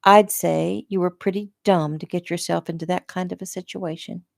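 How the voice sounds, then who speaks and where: A woman reading the part of a young woman with a teasing, quick-retort manner. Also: lowish voice, steady tone, vocal fry, darkish tone, no echo, clean studio sound. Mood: sassy. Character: sassy young woman, unbothered.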